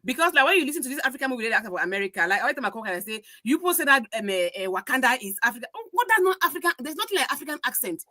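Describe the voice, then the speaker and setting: A woman's voice: high-pitched, sing-song, rapid-fire, few pauses, bright, forceful tone, no echo, studio quality. A woman with broadcasting training chatting casually on a podcast. She is opinionated and slips into pidgin. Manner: opinionated, mixes pidgin into her English. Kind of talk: casual chat.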